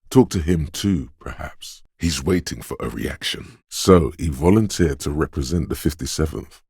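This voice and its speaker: Very deep, smooth inner voice. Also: male voice, darkish tone, dry recording, clean studio sound, heavily acted. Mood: wry, observant. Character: inner voice of a detective's quick reflexes and wits. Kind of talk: video game narration, second-person inner voice.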